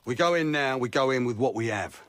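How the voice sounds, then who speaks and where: A man playing a brisk, no-nonsense British SAS squad commander in a military game. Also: medium pitch, lively intonation, dry recording, close to an everyday voice. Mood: curt. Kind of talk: cinematic military game acting. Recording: loud music behind the voice.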